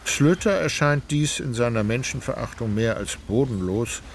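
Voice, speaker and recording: A man narrating a literary radio drama in a measured, deliberate and wry way. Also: medium pitch, lively intonation, a little hiss or hum. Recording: loud music behind the voice. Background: music.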